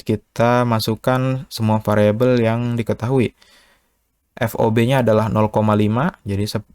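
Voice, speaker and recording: A man's voice: fairly low pitch, darkish tone, dry recording, clean studio sound. A man, working through a physics problem patiently and step by step as an online tutor, often adding "eh" and "ya". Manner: patient, methodical, with filler "eh" and "ya".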